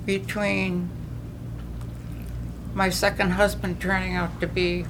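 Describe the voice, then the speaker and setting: A woman's voice: medium pitch, flat delivery, slow pace, noisy background. An older woman with a New England accent gives earnest personal testimony at a recovery meeting, telling her story with frequent restarts.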